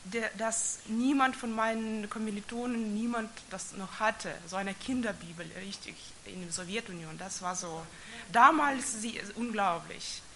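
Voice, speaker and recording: A woman from the former Soviet Union, speaking German as a second language, gives a personal faith testimony and reads scripture in a halting, hesitant way with frequent restarts. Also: medium pitch, bright, forceful tone, rough recording. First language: not German. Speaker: church member giving testimony.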